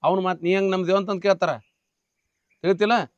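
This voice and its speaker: A man's voice: high voice, fairly even intonation, brisk, smooth, no echo, lightly acted. A man playing a scornful fake film director. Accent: North Karnataka Kannada. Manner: scornful, mocking, bullying. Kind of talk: short film drama. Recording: dry, slightly dull, faint music.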